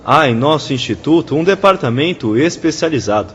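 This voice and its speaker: Courteous official, long hall reverb. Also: male voice, fairly high pitch, animated, fairly bright tone, dull audio, a little hiss or hum. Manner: formal, measured, didactic. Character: courteous male spirit-world official patiently explaining procedures. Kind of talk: radio drama acting, formal didactic speech.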